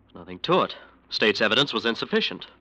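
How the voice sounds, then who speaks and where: An actor playing a defensive, uneasy lawyer, hesitant and self-justifying, in 1940s radio drama style. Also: male voice, fairly low pitch, big pitch swings, fast talker, sharp tone, full character voice. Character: defensive, uneasy male lawyer working for a gangster. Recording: noisy vintage radio recording.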